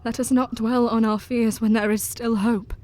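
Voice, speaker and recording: A woman playing a spellbound young woman, high voice. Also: little pitch movement, a little mellow, natural voice. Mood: resolute. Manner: earnest, halting when entranced. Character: young woman under a vampire's spell, resolute. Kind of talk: audio drama acting. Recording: audible background hiss.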